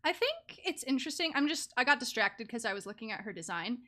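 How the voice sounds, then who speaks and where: Bright, high-pitched, animated woman streamer. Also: quick pace. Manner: giggly, excitable reactions. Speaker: game streamer. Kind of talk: casual live gaming commentary.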